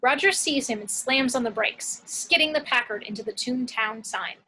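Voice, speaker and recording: Bright, high-voiced woman narrating a script. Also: moderate pace, breathy edge, rough recording. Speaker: narrator reading action descriptions. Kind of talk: scripted narration at an amateur table read.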